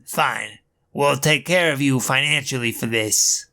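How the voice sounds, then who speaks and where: Male performer as a smooth-talking crooked lobbyist, curt, comic dub. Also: medium pitch, a little slow, sharp tone, pitched below the performer's own voice. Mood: scheming. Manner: curt, cowardly when threatened. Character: slick, scheming male lobbyist.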